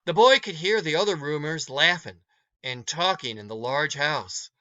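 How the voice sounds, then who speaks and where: A man's voice: a little high, expressive, normal speed, bright, forceful tone, dull audio. A man reading a story aloud to students as a teacher, in a read-along narration.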